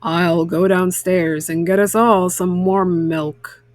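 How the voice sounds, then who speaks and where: A woman voicing a calm, practical father bear in a storybook, steady and reassuring. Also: lowish voice, slow pace, no room sound, clean studio sound, voice pushed down.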